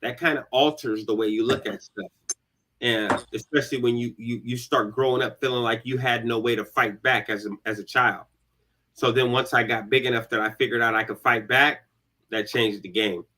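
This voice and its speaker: A man's voice: medium pitch, steady tone, moderate pace, pushed and bright, slight background noise. A man in his forties chatting informally and emphatically as an interview guest. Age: 40s. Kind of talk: casual personal chat.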